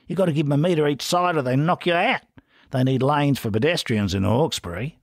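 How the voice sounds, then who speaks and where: A man's voice: on the high side, fairly quick, no room sound, studio quality, voice pushed up. A man voicing a foul-mouthed, combative Australian pub lout in a story reading. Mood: sneering. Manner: crude, loud, combative.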